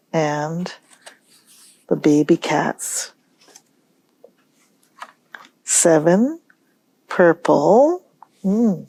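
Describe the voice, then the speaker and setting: A woman hosting a storytime for young children, reading aloud in a gentle, musing way and pausing to pose questions. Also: lowish voice, vocal fry. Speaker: children's library storytime host.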